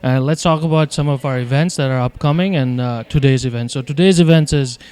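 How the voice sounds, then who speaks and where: A man's voice: mid-range voice, a little fast, a little mellow, smooth. A man co-hosting an event segment as a volunteer, talking in a friendly, rambling way with many fillers. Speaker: volunteer co-host.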